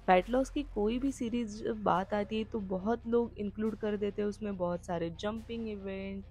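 A woman's voice: medium pitch, steady tone, moderate pace, dark tone. Woman fitness vlogger, instructional voice-over, mid-range voice, light music bed. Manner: explains steps and reminders directly to viewers.

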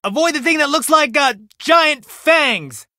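Adventure game role: spirited, teasing woman, fairly high bright voice, lively delivery. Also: a little slow, dry recording, studio quality, exaggerated voice. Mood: urgent, playful. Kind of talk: video game voice acting.

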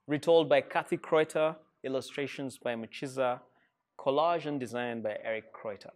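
A man's voice: mid-range voice, moderate pace, darkish tone. A middle-aged man with a Ugandan accent reading a rhyming picture book aloud to children in a playful, encouraging way. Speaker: storyteller reading to schoolchildren. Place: indoor school reading room with seated children.

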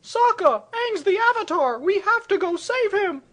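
A sensible teenage girl from a cartoon parody, played by a woman. She has a high voice and a dry, teasing sarcasm. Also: animated, normal speed, a bit bright. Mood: urgent. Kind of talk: comedic cartoon parody dub.

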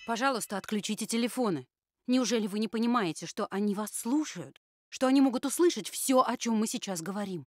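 A woman's voice: on the high side, expressive, fairly quick, a little hiss or hum, natural voice. A woman voicing a female puppet survivor who solemnly warns people about the future. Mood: urgent. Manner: solemn, admonishing. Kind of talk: puppet theatre performance.

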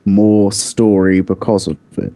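A man chats casually as a podcast co-host. His voice sits fairly low and has a dark, mellow tone. He speaks in a conversational discussion style. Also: flat delivery, a little slow. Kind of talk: casual discussion of video games.

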